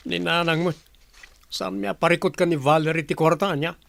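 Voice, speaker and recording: Breezy, creaky, high-voiced grown son. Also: male voice, steady tone, moderate pace, a bit bright. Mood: eager. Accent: Ilocano. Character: married grown son, free-spending, breezy and defensive. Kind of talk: radio drama acting.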